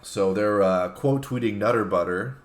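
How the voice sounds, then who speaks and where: A man co-hosting a casual comedy talk show, phrasing things in a halting, deliberate way. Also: on the low side. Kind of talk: casual comedic chat, reading out tweets.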